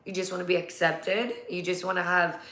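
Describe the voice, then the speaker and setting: A woman hosts a live interview in a chatty, animated way. Her voice sits a little low and sounds full of energy. The room is echoey. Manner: chatty, animated, quick to laugh. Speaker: host. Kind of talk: casual livestream interview.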